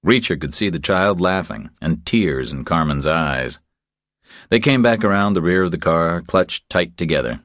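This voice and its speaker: A man's voice: low-pitched, lively intonation, moderate pace, fairly bright tone, no room sound, studio quality. A man narrating a thriller audiobook from a script.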